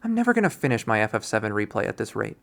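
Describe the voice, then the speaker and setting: A man's voice: on the low side, big pitch swings, very fast, vocal fry, a bit bright, full character voice. A man voicing a self-pitying young male student in a visual novel, complaining with whiny melodrama. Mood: grumbling.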